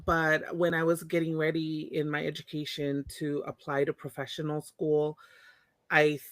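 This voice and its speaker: A woman's voice: deep voice, monotone, moderate pace, no room sound. A woman from Tonga, an interview guest telling her personal story in a reflective, candid way, often restarting her sentences. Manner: reflective, frequent restarts and self-corrections.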